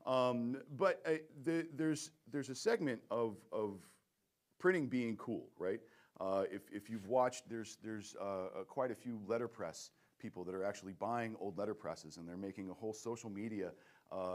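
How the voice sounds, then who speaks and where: A man who owns a print shop, answering questions in a halting, casual way at a conference panel, often repeating words. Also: on the low side. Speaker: print shop owner, guest speaker.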